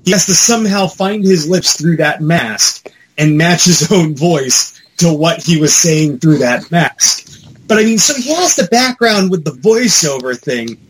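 A man with a slightly high voice chats casually as a podcast co-host. His delivery swings widely in pitch and rambles with a fan's enthusiasm and the odd mild curse. There is faint noise in the background. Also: a little slow, rough recording. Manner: animated, rambling, mildly profane fan commentary. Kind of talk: casual pop-culture chat.

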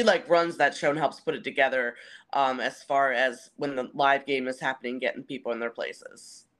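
A woman who works as a stadium announcer, answering interview questions in a conversational, explanatory way. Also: rumbling low.

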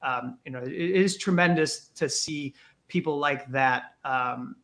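Halting higher-pitched male interviewer. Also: fairly bright tone. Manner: halting, hesitant questions with many fillers. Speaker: interview host. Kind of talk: podcast interview.

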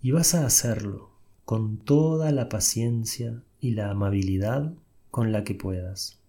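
A man leading a guided meditation, giving soft, unhurried instructions with long pauses. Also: on the low side.

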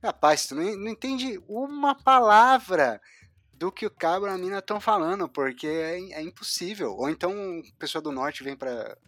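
A woman, chatting in a lively, informal, slangy way. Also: lowish voice, normal speed, dry recording, studio quality. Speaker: conversation partner. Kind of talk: casual conversation.

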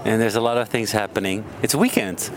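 A man's voice: fairly low pitch, fast talker. A man interviewing a guest outdoors in a city park, joking around and giving lots of short agreements. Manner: jokey, many short backchannels. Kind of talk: casual interview. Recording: outdoor street noise.

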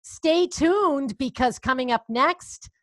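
A woman's voice: sits high, animated, slow, no echo, studio quality. A woman podcast host with a Boston accent, upbeat and chatty, encouraging her guest in a casual interview.

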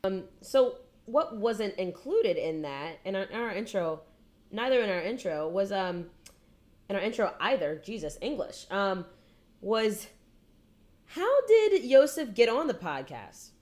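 A young woman hosting a casual podcast, teasing her friend and laughing often. Her pitch swings widely as she talks. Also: big pitch swings, a little slow, darkish tone. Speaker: podcast co-host.

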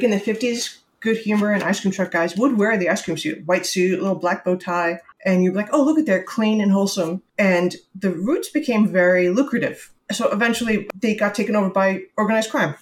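A middle-aged woman from the New York area co-hosts a comedy book podcast. She talks in casual, sarcastic asides and drifts easily into long anecdotes, at a middling pitch. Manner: sarcastic, joking, storytelling. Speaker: podcast co-host.

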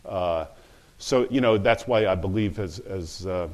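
A man speaking on a panel in unscripted remarks, halting, with many fillers and restarts. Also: deep voice.

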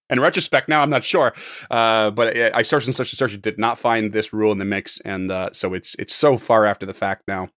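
A hoarse man acting as game master on a tabletop podcast, rambling and laughing a lot between restarts. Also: medium pitch, a bit bright, no echo, clean studio sound.

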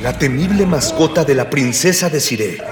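A man's voice: fairly high pitch, expressive, moderate pace. A man narrating a radio soap in a florid, pompous, mock-epic style. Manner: pompous, theatrical. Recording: echoey, reverberant, noisy recording.

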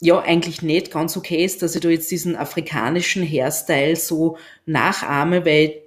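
A woman, co-hosting a casual conversation, reflective and often pausing with "ähm" as she searches for words. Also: low-pitched, steady tone. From Austria. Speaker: podcast co-host.

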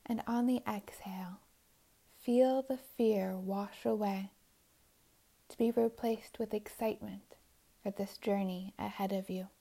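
A woman narrates a guided meditation at a slow, unhurried pace. Her voice is relaxed and mellow, at a medium pitch. Phrases come with long pauses between them and trail off softly and breathily. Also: vocal fry.

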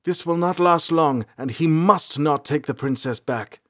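A man's voice: fairly high pitch, measured pace, no room sound, clean studio sound, voice pushed up, lightly acted. A man voicing an earnest, self-sacrificing ranger in a fantasy audiobook, giving urgent commands. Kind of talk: dramatic fantasy audiobook character.

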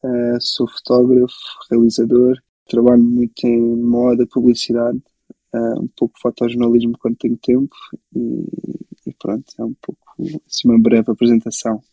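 A young man with a European Portuguese accent talks casually as an interview guest. His voice is very dark and mellow, with a gravelly creak and a husky edge. He tells his story in a conversational way. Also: medium pitch, monotone, normal speed, no echo, low-quality recording. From born in Belgium, grew up in Portugal from age six. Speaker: interview guest, photographer and filmmaker.

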